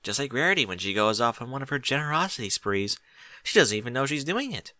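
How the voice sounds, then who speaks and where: A man's voice: mid-range voice, sing-song, sharp tone, dry recording. A man voicing an earnest young pony princess in a storybook, tender and reasoning. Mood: mumbling. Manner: reasoning, deliberate.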